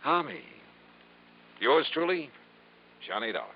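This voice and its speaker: A man's voice: medium pitch, big pitch swings, measured pace. A dull, faintly noisy vintage radio recording of a hardboiled private investigator in a detective drama. His mid-range voice moves a lot, with a wry, world-weary, punchy delivery. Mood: wry narration. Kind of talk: 1950s detective radio acting.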